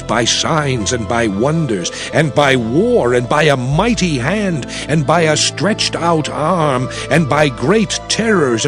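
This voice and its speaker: An actor playing Moses, a solemn prophet and leader, in grave, emphatic scripture oratory for an audio drama. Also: male voice, a little high. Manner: grave, emphatic, measured declaiming. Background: music.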